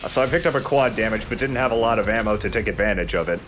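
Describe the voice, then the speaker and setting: A man's voice: fairly low pitch, very fast, background noise. A man giving casual let's play commentary while gaming.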